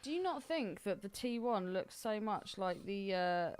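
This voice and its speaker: A woman's voice: mid-range voice, expressive, measured pace, darkish tone, clear, resonant tone. A woman in her twenties co-hosting a podcast in informal chat, often raising questions. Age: 20s. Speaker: podcast co-host. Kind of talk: casual chat.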